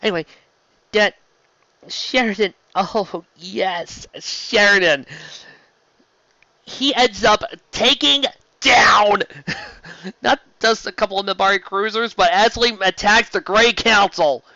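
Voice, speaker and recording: Bright, forceful fan-show host, stumbling and gushing. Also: mid-range voice, takes it slowly, vocal fry, long pauses, no room sound, highs cut off. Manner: gushing, emphatic, often stumbling and restarting mid-word. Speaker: host of a fan fiction live-read show. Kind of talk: casual, rambling fan review.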